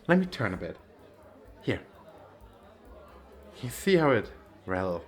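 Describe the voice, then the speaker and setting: Man playing a slow, vain male model. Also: fairly low pitch. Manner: boastful, theatrical, coquettish, with drawn-out pauses. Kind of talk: flirtatious ASMR roleplay monologue.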